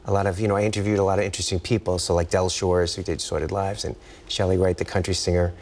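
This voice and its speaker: Very deep-voiced American man, rambling casually. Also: level tone, fast talker, a little mellow. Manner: rambling, trails off mid-thought. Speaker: author, interview guest. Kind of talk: casual interview chat.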